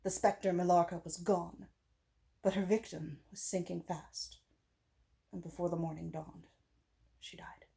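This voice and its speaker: A woman's voice: lowish voice, steady tone, a little slow, gravelly, long pauses, dark tone. Woman reading a gothic tale dramatically. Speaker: audiobook reader. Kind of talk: dramatic gothic story narration.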